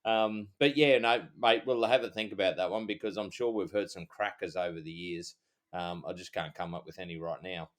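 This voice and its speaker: Deep-voiced easygoing Australian man. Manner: rambling, halting, easygoing. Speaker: podcast co-host, former police officer. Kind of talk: casual chat.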